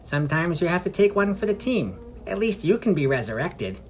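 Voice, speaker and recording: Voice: mid-range voice, sing-song, fairly quick, soft background music, voice pushed up, close to an everyday voice. Narrator voicing a blunt, fond gnome woman. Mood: unapologetic. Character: female gnome wizard, fond but matter-of-fact.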